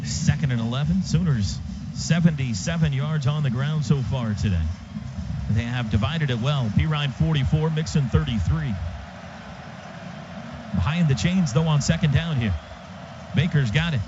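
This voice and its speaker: A man's voice: a little high, moderate pace. An American man calling live football on the radio in clipped phrases that build with the play. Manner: clipped phrases, building excitement as plays unfold. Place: stadium radio booth. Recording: dull radio broadcast, loud stadium ambience. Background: loud outdoor stadium noise.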